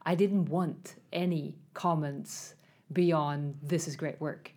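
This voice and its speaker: Low-voiced woman, reflective chat. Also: steady tone, slow, a little mellow. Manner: reflective, conversational. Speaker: podcast co-host, academic. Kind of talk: casual academic chat.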